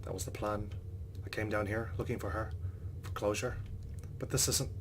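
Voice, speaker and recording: A man's voice: fairly low pitch, fairly even intonation, moderate pace, slight background noise. A man hosting a let's play, reading visual novel narration aloud with his own reactions, over game ambience.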